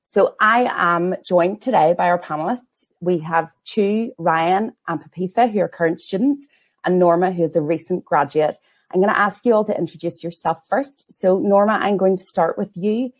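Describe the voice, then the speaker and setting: A woman hosting a careers webinar panel, prompting each panelist politely and briskly. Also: on the low side. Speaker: host, careers adviser.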